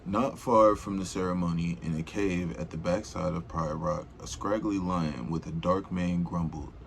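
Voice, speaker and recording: A man's voice: low voice, steady tone, moderate pace, vocal fry, slight background noise. A man reading a children's storybook aloud in an informal, rambling way, with casual slangy asides.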